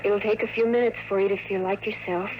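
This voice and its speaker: A woman's voice: mid-range voice, fairly even intonation, fast talker, lo-fi sound, close to an everyday voice. An actress playing an earnest woman agent in love, urgent and beseeching, in a 1950s radio drama. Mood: soothing. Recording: noisy vintage radio recording.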